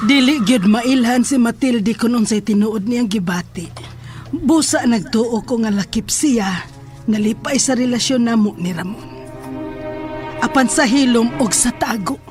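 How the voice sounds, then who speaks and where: Woman acting earnest trusting young lady in a horror audio drama, highish voice, light music under it. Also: a little slow, lightly acted. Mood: reflective. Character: sincere, trusting young woman narrating a dark family curse.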